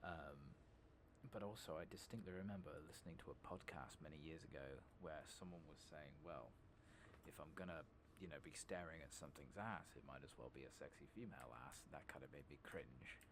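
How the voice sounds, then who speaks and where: A man doing soft-spoken ASMR game commentary, murmuring casually to himself, swearing mildly and slipping in and out of whispers. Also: a bass voice, brisk. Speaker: gaming streamer. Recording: clearly noisy background with clicking.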